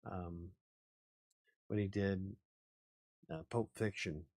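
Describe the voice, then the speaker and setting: A man with a low, slightly raspy voice talks casually as a show host. He rambles, laughs easily and swears now and then. The sound is dry and close, with little room echo. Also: fairly even intonation, slow, darkish tone. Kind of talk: casual chat.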